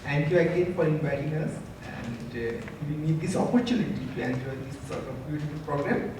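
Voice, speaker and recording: A man in his thirties with a Bangladeshi accent gives formal remarks in a strongly echoing hall. His voice sits fairly high, and a faint noise runs under it. He speaks as an embassy representative into a handheld mic. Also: brisk. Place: large concert hall stage, seated. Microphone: handheld microphone. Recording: echoey hall, distant pickup, faint noise.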